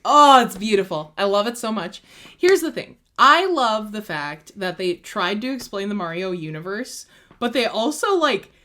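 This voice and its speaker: A woman's voice: mid-range voice, big pitch swings, normal speed, sharp tone. A young woman co-hosting a casual podcast chat. She speaks enthusiastically and emphatically, with gushing exclamations. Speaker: podcast co-host.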